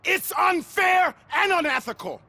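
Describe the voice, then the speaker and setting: Game role: aggrieved gangster heavy, sharp bright tone, very high, loudly indignant and pompous. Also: male voice, animated, unhurried, full character voice. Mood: indignant, aggressive. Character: indignant male gang thug lecturing on market economics.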